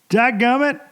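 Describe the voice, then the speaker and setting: A man hosting a casual podcast, hoarse and rambling, chatting loosely to his listeners into a microphone. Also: very high-pitched, bright, forceful tone. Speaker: podcast host. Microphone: studio microphone.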